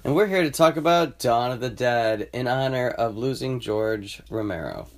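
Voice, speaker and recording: A man's voice: mid-range voice, animated, normal speed, a little hiss or hum. A man hosting a podcast, chatting loosely and rambling after a few drinks. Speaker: podcast host. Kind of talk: casual chat.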